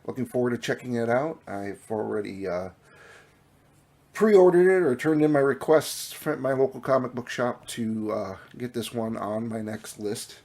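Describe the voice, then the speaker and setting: A man's voice: mid-range voice, moderate pace, darkish tone. A man losing his voice talks casually about his new comic books, rambling with many fillers and restarts. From Minnesota. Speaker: comic book vlogger. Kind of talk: casual show-and-tell vlog. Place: home room with collectibles.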